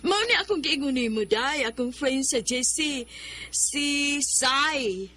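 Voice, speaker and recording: A woman's voice: sits high, lively intonation, slow. A woman playing a chatty, encouraging female best friend in a radio drama. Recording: radio drama with music bed.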